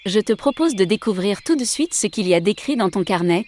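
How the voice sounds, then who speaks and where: Audio drama support role: actress as helpful, encouraging companion, average pitch. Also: female voice, expressive, brisk, darkish tone, a little hiss or hum, close to an everyday voice.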